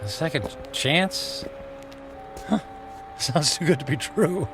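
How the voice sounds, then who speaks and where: High-pitched, agitated, desperate man. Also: slow, music in the background. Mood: humbled, grateful. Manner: agitated, stammering, emotional. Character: angry, desperate man who believed himself doomed. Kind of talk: radio drama acting.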